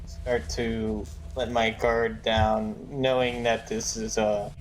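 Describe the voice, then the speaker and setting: A man's voice: on the low side, level tone, takes it slowly, poor audio. A man playing a tabletop roleplaying game, talking through his moves casually in a hesitant, thoughtful way. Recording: clear background noise, clicks.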